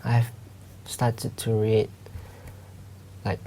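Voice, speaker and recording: A man's voice: on the low side, monotone, very slow, dark tone. A young man from Malaysia answering exam questions hesitantly with many fillers. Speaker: exam candidate.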